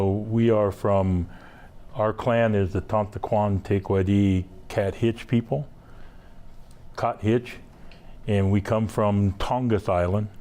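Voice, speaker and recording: Slow, deep-voiced man from Southeast Alaska. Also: mellow, smooth. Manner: slow, unhurried, halting with many fillers. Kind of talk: casual interview storytelling.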